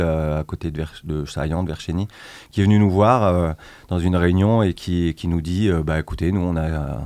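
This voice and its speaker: A man's voice: low-pitched, a little mellow, dry recording. A man from northern France, a volunteer at a migrant aid group, talking hesitantly in a casual radio interview with many fillers and restarts. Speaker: association founder.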